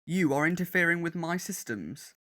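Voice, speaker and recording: A man's voice: on the high side, expressive, normal speed, sharp tone. A man playing a menacing alien war android disguised as a teenage boy, speaking in formal, clinical phrases in an audio drama. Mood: guarded, demanding. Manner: formal, clipped, clinical speech.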